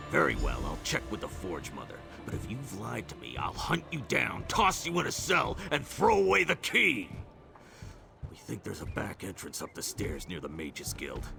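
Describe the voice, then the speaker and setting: An actor playing a gruff, suspicious soldier captain in a video game, with a stern, sarcastic, commanding manner and a gravelly voice. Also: male voice, on the low side, heavily acted. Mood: grudging, threatening. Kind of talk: video game character acting.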